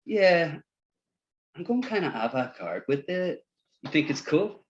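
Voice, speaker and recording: Dull lo-fi audio, guy acting as a chummy try-hard movie director, slangy, pitch all over the place. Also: very animated, a little slow. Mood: showing off, excited. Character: breezy, eager male movie director, try-hard hipster. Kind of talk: table read acting.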